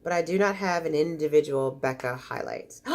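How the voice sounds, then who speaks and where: A woman's voice: on the low side, huge pitch swings, a little slow, darkish tone. A woman beauty vlogger with a congested voice, chatting casually and gushing as she unboxes makeup samples. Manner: chatty, gushing, easily disappointed.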